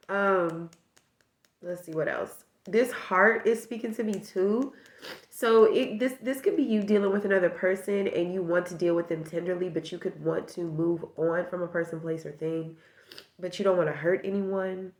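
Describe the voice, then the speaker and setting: A woman's voice: fairly low pitch, steady tone, moderate pace, echoey room. A woman with a stuffed-up nose giving a casual tarot reading, musing aloud and rambling through possibilities. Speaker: tarot reader.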